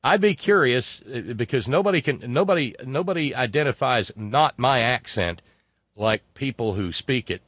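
A man's voice: medium pitch, animated, moderate pace, fairly bright tone, no room sound, studio quality. A man hosting a casual live show, rambling with many fillers and restarts. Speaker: host. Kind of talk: casual livestream talk.

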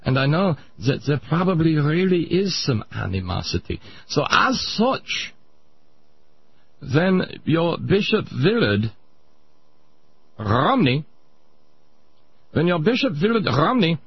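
A man voicing a pompous old male Viennese psychoanalyst, lecturing in a smug, drawn-out way. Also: fairly high pitch, very animated, slightly rough, lo-fi sound, exaggerated voice.

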